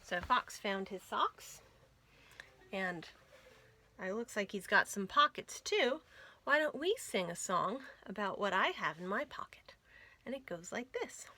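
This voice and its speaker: A woman hosting a children's storytime, reading picture books aloud in an expressive, sing-song way. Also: medium pitch, a little slow. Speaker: children's library storytime host. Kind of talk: animated picture-book read-aloud for young children.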